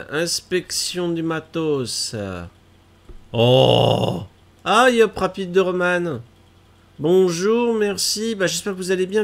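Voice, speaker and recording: A man in his thirties with a cold, chatting casually to his live-stream viewers at home while fixing tech problems and gaming. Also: high-pitched. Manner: chatty, self-mocking, fussing over tech trouble. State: stuffy with a cold. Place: small room at home, gaming chair.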